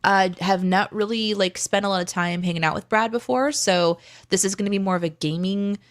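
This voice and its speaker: A woman's voice: mid-range voice, a little fast. A woman co-hosting a live show, chatting casually in an encouraging, chatty way. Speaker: co-host of a live stream and podcast. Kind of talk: casual livestream chat.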